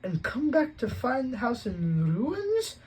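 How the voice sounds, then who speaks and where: A man reading an audiobook voices a snappish, fussy aunt who dotes on her son, in a shrill put-on voice. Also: big pitch swings, slow pace, a little mellow, slight background noise.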